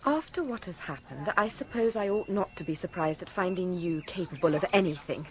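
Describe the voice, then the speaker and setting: A woman's voice: on the low side, lively intonation, a little fast, natural voice. An actress playing a spirited, sensible young English lady in a radio comedy, forthright and easily exasperated. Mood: indignant.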